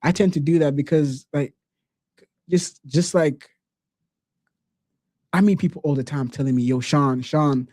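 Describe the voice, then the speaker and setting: A man hosts a podcast in a loose, casual way, dropping slang and "bro" as he talks. His voice is warm and mellow and sits a little high for a man. The sound is dry and close, with almost no room in it. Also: unhurried, pauses often, no room sound. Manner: relaxed, slangy, says "bro" often. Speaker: podcast host.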